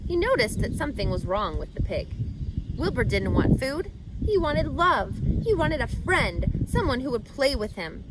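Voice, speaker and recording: A woman's voice: high voice, very animated, normal speed, very noisy. A woman narrating a children's book expressively as a read-aloud host, speaking directly to young listeners.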